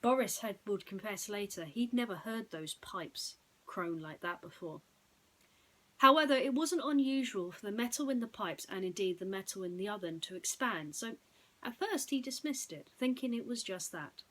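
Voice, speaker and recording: A woman's voice: mid-range voice, lively intonation, normal speed, a bit bright. A woman storyteller narrating a bedtime story in a dramatic, suspenseful way.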